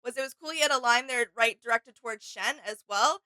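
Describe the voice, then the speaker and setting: Higher, bright-voiced woman in casual chat. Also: a little fast, no echo. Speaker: reaction channel co-host. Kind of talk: casual chat, discussing game character lore.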